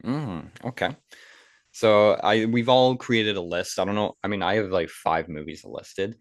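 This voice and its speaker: A man with a deep voice talks casually as a podcast co-host. He rambles through his points and stresses his opinions. The sound is close and dry, with very little room in it. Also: measured pace, dry recording.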